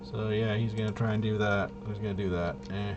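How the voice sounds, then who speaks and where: A man thinking aloud in casual tabletop gameplay commentary, halting and muttering to himself. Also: lowish voice, flat delivery, lo-fi sound, background noise. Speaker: tabletop RPG player and presenter.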